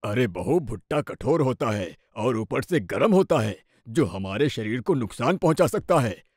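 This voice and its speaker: A man's voice: medium pitch, big pitch swings, moderate pace, darkish tone, husky, dry recording, clean studio sound. A man playing a stern, sensible older father-in-law who admonishes his family in a cartoon moral story. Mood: cautioning. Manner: admonishing, lecturing.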